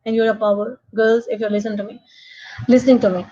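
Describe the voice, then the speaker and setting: High-voiced Pakistani woman hosting, halting and gushing. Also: level tone, warm tone. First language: not English. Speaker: host. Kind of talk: online talk show, effusive informal hosting. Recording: dull, lo-fi online call audio.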